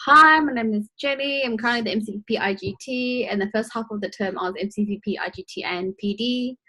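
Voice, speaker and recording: A young woman with a fairly high voice introduces herself on a webinar. She speaks casually in short, upbeat remarks. The sound is that of a video call. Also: quick pace, pushed and bright, low-quality recording. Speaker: webinar co-host.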